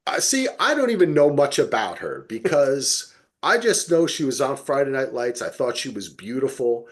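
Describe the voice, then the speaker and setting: A man's voice: medium pitch, sing-song, moderate pace. A middle-aged man co-hosting a podcast, reviewing television casually with emphatic, playfully exaggerated storytelling. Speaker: podcast co-host.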